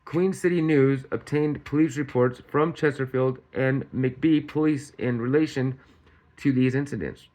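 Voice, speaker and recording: A man's voice: mid-range voice, unhurried, a little mellow, low-quality recording. Man reading news aloud, stumbling over words. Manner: stumbles over words while reading, repeating and correcting himself. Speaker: host of a commentary channel reading news. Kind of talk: reading a news report aloud with casual commentary.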